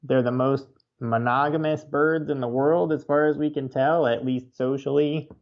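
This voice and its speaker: A man's voice: mid-range voice, steady tone, moderate pace, lo-fi sound. A man with an American accent, an interview guest telling stories conversationally. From United States, Oregon. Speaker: author and birder, interview guest.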